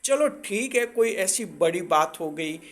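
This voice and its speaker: A man's voice: sits high, animated, slow pace, a bit bright. A man presenting astrology advice to viewers in a didactic way, repeating and rephrasing his points for emphasis.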